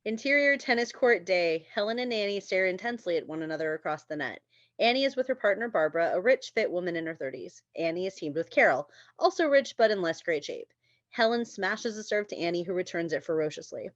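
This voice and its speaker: Female narrator for script directions, lower register, dry, slightly dull recording. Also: expressive, fairly quick, dry recording. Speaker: stage directions reader. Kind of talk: scripted narration at a screenplay table read.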